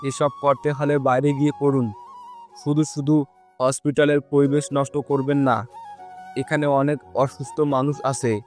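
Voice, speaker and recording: An actor playing a stern, scolding middle-aged doctor in an audio story. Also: male voice, mid-range voice, little pitch movement, unhurried, darkish tone, soft background music, lightly acted.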